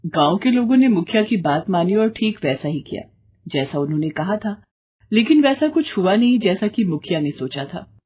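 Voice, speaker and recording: Husky woman narrating a fairy tale. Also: mid-range voice, big pitch swings, moderate pace, dark tone. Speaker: storyteller narrator.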